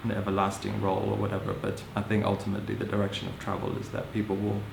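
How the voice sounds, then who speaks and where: Low gravelly man in a roomy space. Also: monotone, quick pace, noisy background. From Hong Kong. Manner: thoughtful, musing, many "you know"s, soft laughs. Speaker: interviewee, social anthropologist. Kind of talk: reflective personal account. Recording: roomy echo.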